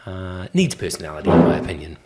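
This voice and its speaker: A man hosting a podcast, relaxed and affable, talking casually with plenty of fillers. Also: low-pitched, fast. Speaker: podcast host.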